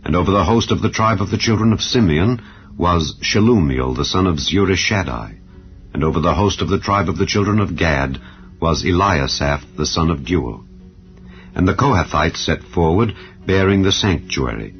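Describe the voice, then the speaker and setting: A man reads scripture as a dramatized narration, with a solemn, measured delivery. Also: deep voice, animated. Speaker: Bible narrator. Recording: dull, band-limited audio with background music. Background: background music.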